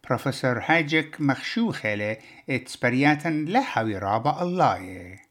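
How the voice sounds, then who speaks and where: A man's voice: mid-range voice, expressive, racing, fairly bright tone. A man narrating a scripted radio feature as its presenter.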